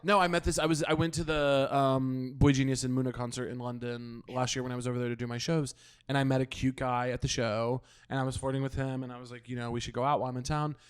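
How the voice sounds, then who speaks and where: A man's voice: medium pitch, flat delivery, fast talker, clear, resonant tone. A man hosting a podcast in a casual, chatty and playful way, joking at his own expense. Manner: chatty, playful, self-deprecating. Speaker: podcast host. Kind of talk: casual chat.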